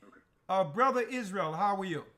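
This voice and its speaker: A man hosting a church livestream as an elder, taking callers and giving brisk directions. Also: high voice, expressive, fairly bright tone. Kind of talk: livestream call-in talk.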